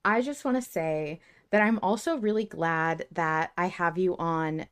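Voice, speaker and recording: A woman's voice: fairly low pitch, a little slow, clean tone. A woman hosting a fan podcast in casual, chatty, giggly conversation. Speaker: podcast host.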